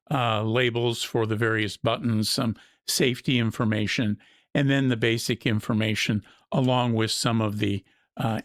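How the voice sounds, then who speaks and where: Male product reviewer, mid-pitched, methodical explainer talking through features off the cuff, recorded dry. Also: dry recording. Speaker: gadget review host. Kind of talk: unscripted product walkthrough.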